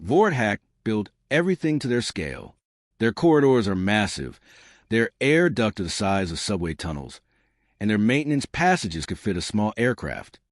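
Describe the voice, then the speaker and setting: Man voicing a cocky, fierce female space captain, animated. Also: fairly low pitch, normal speed, no echo, clean studio sound. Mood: cocky, determined. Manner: wry, confident, teasing.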